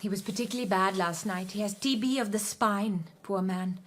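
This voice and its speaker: A woman playing a young Indian wife in a radio drama, girlish, coaxing and anxious, with an expressive delivery. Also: mid-range voice, brisk, a little hiss or hum, natural voice. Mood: earnest, open. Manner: pleading, girlish, emotionally expressive.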